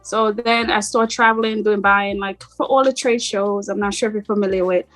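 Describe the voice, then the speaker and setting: A middle-aged woman with a slight Jamaican accent, talking about herself in a relaxed, rambling way as an interview guest. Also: mid-range voice, moderate pace, faint music behind. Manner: relaxed, rambling, frequent "like" fillers. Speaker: boutique owner guest. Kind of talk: casual interview chat.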